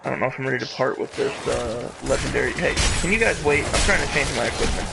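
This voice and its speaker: A man's voice: medium pitch, quick pace, vocal fry, very noisy. A man giving casual, jokey live commentary as he streams a game, with mock drama. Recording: game music behind the voice. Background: video game music.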